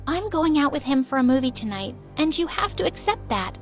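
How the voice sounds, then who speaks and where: A woman plays a kind teenage girl in an animated story. She speaks softly and reasonably, with a lilting high voice. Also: fairly quick, faint music behind. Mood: gentle. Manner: soft, soothing, reasonable. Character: kind gentle teenage girl best friend.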